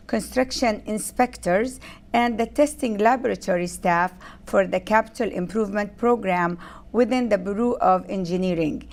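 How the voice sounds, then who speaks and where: A woman hosting a TV interview, reading a formal guest introduction and then prompting with brief encouraging questions. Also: mid-range voice. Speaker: talk show host.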